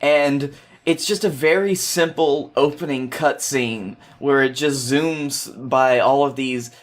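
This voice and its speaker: A male podcast guest with a medium-pitched voice. He explains things in a loose, conversational way, with wry asides and the odd swear word. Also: takes it slowly. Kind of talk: casual explanatory chat.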